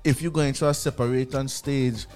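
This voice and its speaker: A man's voice: mid-range voice, steady tone, a little fast, a little mellow. A man with a lilt, talking in a plain mid-range voice. He speaks earnestly and repeats words when he begins a thought, as radio co-hosts do in casual discussion. Faint music plays underneath. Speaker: radio show co-host.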